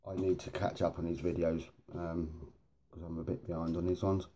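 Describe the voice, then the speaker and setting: A man's voice: low voice, little pitch movement, normal speed, mellow, slight background noise. A man hosting a casual, rambling hobby vlog in informal, matey slang, with a sniffly, blocked nose. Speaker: host of a hobby video series.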